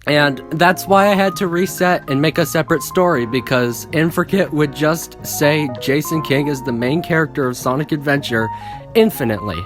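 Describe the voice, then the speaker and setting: A woman's voice: deep voice, normal speed. Casual woman reading over background music. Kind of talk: casual script read-along with commentary.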